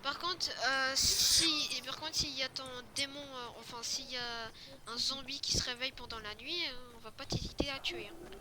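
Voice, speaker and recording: A woman's voice: sits high, steady tone, normal speed, bright, forceful tone. A woman improvising amateur role-play dialogue in a hesitant way, as if making up the story while speaking. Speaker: role-play video creator acting out a zombie story.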